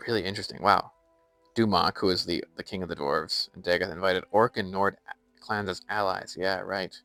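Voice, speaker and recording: A man's voice: low-pitched, steady tone, normal speed, vocal fry, bright, forceful tone, no room sound, soft background music. A young American man chatting casually on a live stream while playing a game and reading its lore aloud. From United States.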